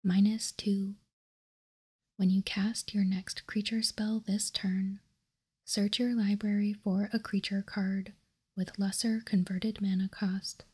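Breathy whispering woman, slow and soft. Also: medium pitch, level tone. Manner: slow, hushed, deliberate. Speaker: host. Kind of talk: whispered ASMR card showcase.

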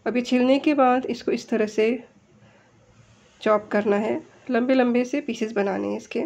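A woman's voice: fairly high pitch, steady tone, normal speed, vocal fry, darkish tone, lo-fi sound. A woman with an Indian accent gives step-by-step instructions as a home cook in a recipe voice-over. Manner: explanatory, step-by-step.

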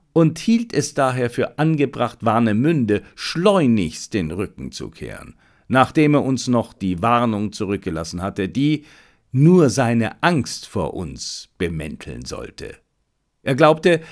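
A man voicing a confident, analytical detective in an audiobook, explaining things emphatically and deliberately. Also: medium pitch, darkish tone.

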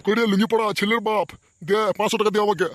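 A man's voice: sits high, fairly even intonation, rapid-fire, no room sound, studio quality, close to an everyday voice. A man voicing a bossy, blustering village man in a comedy cartoon, with crude speech. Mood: irritated. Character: bossy, foul-mouthed village man at a wedding. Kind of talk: amateur comedy cartoon voice acting.

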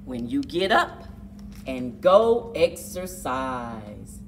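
A middle-aged woman speaking to young children as a story time host, with exaggerated, drawn-out storyteller delivery. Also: very deep voice, very animated, distant mic, a little hiss or hum. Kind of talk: expressive picture-book read-aloud and leading action activity for children. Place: indoors, children's library room.

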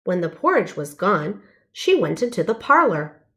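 A woman's voice: lowish voice, big pitch swings, normal speed, a bit bright. A woman narrating a children's storybook, reading aloud carefully and expressively.

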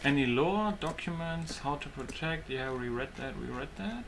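A man talks casually through a video game, thinking aloud in half questions. His voice sits at an ordinary pitch, with loud game ambience behind it. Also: expressive, moderate pace, vocal fry. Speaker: video game player commentating. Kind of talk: casual gameplay commentary. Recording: loud game noise underneath.

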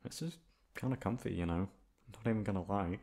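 A shy young male classmate, acted by a man for an ASMR roleplay. His voice sits fairly low. He is sweet-natured and easily flustered, with halting, stammered phrasing. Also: darkish tone, natural voice. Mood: relaxed, shy. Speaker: voice actor. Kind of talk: ASMR roleplay acting, one-sided dialogue.

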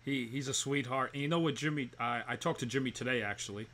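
A man's voice: mid-range voice, fast talker, fairly bright tone, a little hiss or hum. A man hosting a casual interview over a video call from a dim room at home, asking questions in a chummy, easygoing way. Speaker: talk show host. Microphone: laptop webcam on a video call.

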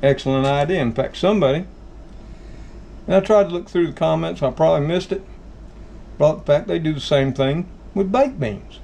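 A man's voice: on the high side, moderate pace, vocal fry, long pauses, dark tone, a little hiss or hum. A middle-aged Southern American man in his home kitchen, talking viewers through his food stockpile in a folksy, plain-spoken way. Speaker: channel host. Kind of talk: casual how-to talk.

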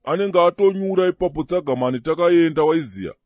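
A man's voice: on the high side, quick pace, a little mellow, no echo, clean studio sound, lightly acted. A man with a Zimbabwean accent playing a boastful, slangy gravedigger who poses as a big spender in a comedy cartoon. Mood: irritated, boastful. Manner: bragging, slangy.

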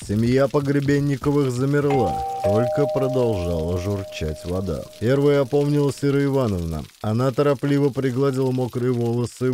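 A man's voice: mid-range voice, dark tone, slight background noise. A man narrating a comic audio play in a dramatic scripted storytelling style. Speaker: narrator and actor of audio plays.

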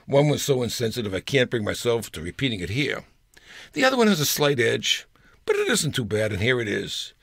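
A man's voice: medium pitch, sing-song, fairly quick, gravelly, a bit bright, breathy edge, no echo, clean studio sound. An older man reading his own humorous personal story aloud in a wry, storytelling manner. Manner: wry storyteller with playful voices.

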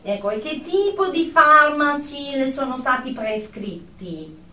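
An officious radio-drama psychiatrist acted by a woman speaks slowly and pompously, with clinical, scolding authority. The sound is roomy and off-mic, with faint noise. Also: sits high, animated, sharp tone, full character voice. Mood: clinical, probing. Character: stern, officious female psychiatrist assessing a patient. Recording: roomy, distant, noisy amateur recording.

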